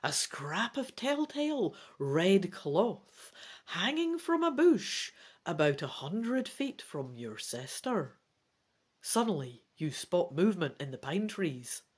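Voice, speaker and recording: Storyteller for a fantasy gamebook: unhurried, theatrical, very animated intonation, deliberate phrasing. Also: mid-range voice, slightly rough. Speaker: gamebook reader and video host. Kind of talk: dramatic storybook narration with casual asides.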